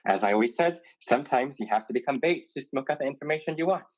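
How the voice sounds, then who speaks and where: An actor playing a wry, calculating pirate with a dry, halting delivery in a staged play reading. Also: mid-range voice, animated, darkish tone, lightly acted. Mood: menacing, amused. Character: wry male pirate, calculating yet honorable suitor. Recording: dull lo-fi sound.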